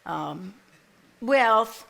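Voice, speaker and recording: Woman playing smug older woman, deliberate slow delivery, sharp tone, grand, theatrical. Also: fairly high pitch, animated, vocal fry, exaggerated voice. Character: self-satisfied older Southern woman, imperious and grand. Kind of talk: live stage play acting. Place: theater stage before a live audience. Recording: noisy live theater stage.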